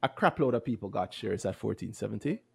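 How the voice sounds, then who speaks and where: A man co-hosting a podcast, talking about stocks in a lively, playful, self-mocking way. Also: fairly low pitch, fast, gravelly, breathy edge. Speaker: podcast co-host. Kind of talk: casual chat about stocks.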